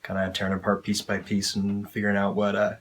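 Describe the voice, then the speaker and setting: Quick, very monotone man in casual interview. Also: fairly low pitch, slight background noise. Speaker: actor being interviewed. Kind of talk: casual behind-the-scenes interview.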